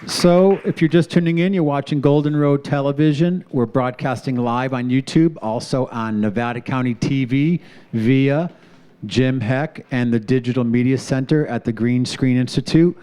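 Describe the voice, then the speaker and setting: A man hosts a live community television show in front of a studio audience. His voice is smooth and resonant, with a relaxed, mellow tone. He sounds upbeat as he introduces segments. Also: medium pitch, normal speed. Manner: upbeat, announces crew and thanks sponsors. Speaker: show host.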